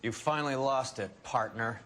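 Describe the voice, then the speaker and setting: A man's voice: mid-range voice, moderate pace, fairly bright tone, a little hiss or hum, lightly acted. A man playing a contemptuous, corrupt policeman in a crime game, sardonic and dismissive. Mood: sneering. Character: sneering, contemptuous crooked male cop. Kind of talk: cinematic crime game voice acting.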